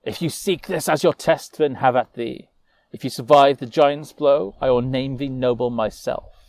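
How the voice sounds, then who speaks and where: A man's voice: mid-range voice, moderate pace, creaky, a little mellow, lightly acted. A man voicing a stately king in a fantasy tale, giving formal pronouncements with solemn authority. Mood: solemn approval.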